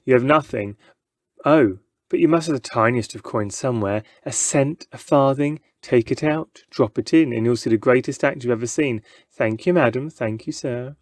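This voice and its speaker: A man's voice: medium pitch, normal speed, no room sound, studio quality, natural voice. A man voicing a theatrical showman in a storybook, goading and coaxing a crowd insistently.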